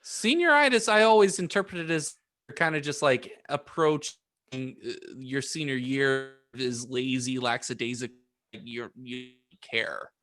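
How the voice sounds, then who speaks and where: A man co-hosting a podcast with casual, jokey banter, halting and tripping over his words. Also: mid-range voice, animated, a bit bright. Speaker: podcast co-host. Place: remote video call.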